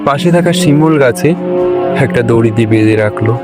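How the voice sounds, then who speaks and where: Male cartoon storyteller over loud music. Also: mid-range voice, lively intonation, moderate pace. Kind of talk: scripted cartoon story narration.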